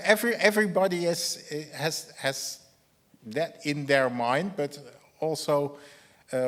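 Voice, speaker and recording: A man with a Dutch accent giving a technical talk and answering questions as a conference speaker, halting and often repeating his words. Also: fairly high pitch. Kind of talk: technical presentation and audience Q&A.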